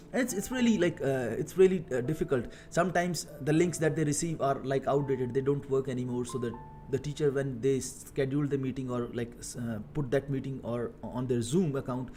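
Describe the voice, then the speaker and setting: A man in his thirties from India with an Indian accent, talking casually on a coding live stream, halting with many fillers and repeated words. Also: mid-range voice, a little mellow. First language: Hindi. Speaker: live-streaming software engineer.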